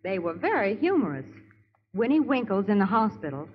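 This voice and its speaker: An actress playing a sharp, teasing young lady, a detective's companion, in 1930s radio style. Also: medium pitch, very animated, a little fast, close to an everyday voice. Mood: chiding. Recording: muffled lo-fi 1930s radio broadcast.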